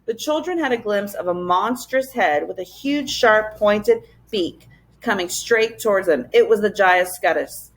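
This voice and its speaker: A woman reading a children's adventure story aloud as a teacher would, in expressive narration. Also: mid-range voice, a little slow.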